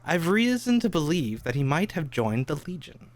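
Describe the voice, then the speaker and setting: A man reading the part of a brisk, confident English gentleman detective in an amateur radio play. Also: on the high side, big pitch swings. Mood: courteous, inquiring.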